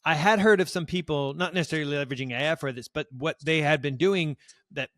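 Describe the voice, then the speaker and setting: A man's voice: a little high, fast talker, no room sound, clean studio sound. A man hosting a casual podcast interview, asking questions eagerly and agreeing often with his guest. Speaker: podcast host.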